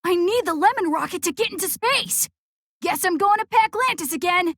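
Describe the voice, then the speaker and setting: Young boy game hero: plucky, cocky, eager, animated delivery in an extremely high register. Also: moderate pace, no room sound, clean studio sound, exaggerated voice. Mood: urgent. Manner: brash, eager. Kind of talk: animated game cutscene acting. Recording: loud music behind the voice.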